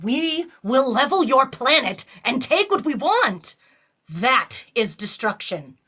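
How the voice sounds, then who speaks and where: An actress playing an authoritative, guarded female starship captain in an audio drama, giving crisp, imperious orders. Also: high voice, very animated, takes it slowly, pushed and bright, exaggerated voice. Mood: stern, defiant.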